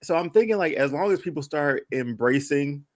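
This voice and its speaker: A man's voice: a little high, animated, normal speed. A man chatting casually as a podcast panelist, slangy and emphatic, often repeating his phrases for weight.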